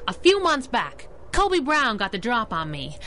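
An actress playing a plucky, wisecracking masked crimefighter in a 1930s-style radio drama, sassy and slangy. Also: female voice, a little high, wildly swooping pitch, a little slow, pushed and bright, slight background noise, exaggerated voice. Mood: breezy, wry.

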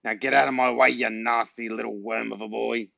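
A man's voice: mid-range voice, flat delivery, a little fast, gravelly, bright, forceful tone, dry recording. A nosy, officious retired major from a children's storybook, acted out by a man reading aloud. He barks accusations through his nose and bristles with self-importance. The sound is slightly muffled and close, with little room. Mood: bullying, accusing. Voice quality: nasal. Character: nosy, barking retired major, snooping neighbour.

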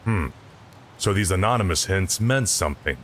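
A curt, deep-voiced colonel. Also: male voice, expressive, moderate pace, fairly bright tone. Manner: curt, clipped orders. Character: stern middle-aged male intelligence colonel. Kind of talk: game voice acting. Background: faint game music.

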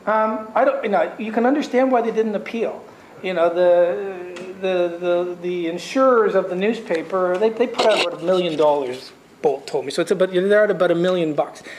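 A man's voice: high voice, normal speed, a little hiss or hum. A man on a conference law panel, talking bluntly and emphatically and firing off rhetorical questions. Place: conference room. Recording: roomy echo.

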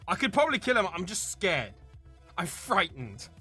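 A video game streamer giving excitable commentary, yelling and cursing at the game. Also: medium pitch, normal speed, husky, soft background music. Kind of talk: excitable gameplay commentary with swearing.

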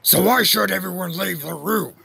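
A man voicing a brash, blustering newspaper boss in a comic dub, gruff and raspy. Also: sits high, moderate pace, exaggerated voice. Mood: skeptical, blustering. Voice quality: raspy, creaky. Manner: gruff, forceful.

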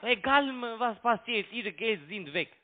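A man's voice: sits high, expressive, unhurried. A man with a foreign accent, a non-native speaker arguing in broken sentences and insistently repeating his phrases over the telephone. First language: not German. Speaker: phone broker and scam caller. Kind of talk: argumentative phone conversation. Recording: tinny narrowband phone line.

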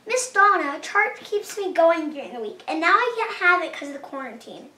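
A woman's voice: very high voice, very animated, normal speed, sharp tone, full character voice. A woman voicing an eager, cheeky little girl puppet in a children's show, childlike and full of exclamation. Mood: glum, impatient. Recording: roomy echo, distant microphone.

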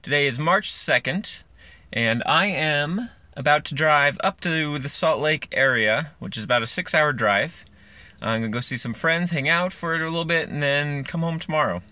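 A man's voice: fairly high pitch, normal speed, sharp tone, no echo. A young man hosting a casual road-trip vlog, talking easygoing and straight to the camera from his car. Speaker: vlogger host. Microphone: camera mounted in car, facing him.

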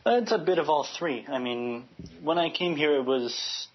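A man's voice: fairly high pitch, animated, moderate pace, slightly rough, muffled recording. A man from Chicago speaking earnestly as a radio interview guest, often restarting his phrases as he searches for words. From United States, Chicago.

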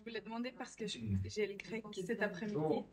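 A woman's voice: medium pitch, fairly quick. A woman student asking her teacher questions politely and hesitantly. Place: classroom. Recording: distant classroom recording with crowd noise.

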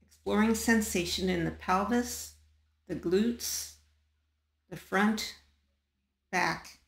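A woman guiding a body scan meditation in a calm, measured manner, leaving long pauses between short phrases. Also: fairly low pitch, gravelly, echoey room. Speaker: meditation guide.

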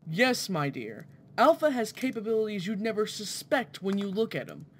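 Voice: mid-range voice, very animated, normal speed, a bit bright, breathy edge, exaggerated voice. An actor playing a proud, genial inventor professor in a formal, theatrical 1940s radio style. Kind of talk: 1940s radio drama acting.

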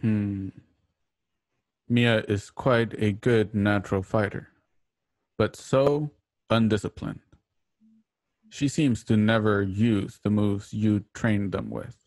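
A man's voice: on the low side, steady tone, slow, long pauses, a little mellow, dry recording. A man playing a judging mentor of fighters in a radio drama, formal and disapproving. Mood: critical.

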